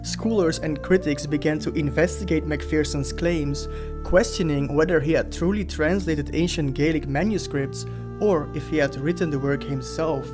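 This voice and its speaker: Man narrating a measured history lesson. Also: fairly high pitch. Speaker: host. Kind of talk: scripted explainer narration. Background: faint background music.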